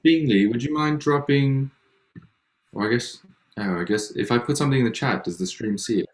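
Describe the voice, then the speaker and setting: A man's voice: medium pitch, fairly quick. A man chatting casually and informally on a video call, asking practical questions of the group. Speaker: participant in a virtual staged reading. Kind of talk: casual chat. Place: indoor room, remote video call. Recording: echoey room on video call.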